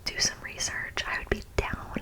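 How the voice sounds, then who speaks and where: A young adult woman speaks in a breathy, unvoiced whisper, presenting ASMR in an informal, mock-serious way as the host. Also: moderate pace.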